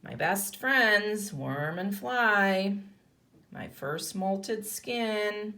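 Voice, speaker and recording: A woman reading aloud as an earnest young boy spider in a storybook, deliberate and expressive. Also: medium pitch, exaggerated voice. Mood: proud.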